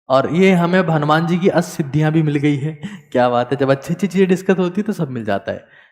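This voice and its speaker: A man giving a motivational study lecture as a teacher, emphatic and repeating key words, swinging between loud and soft. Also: fairly high pitch, long reverb tail.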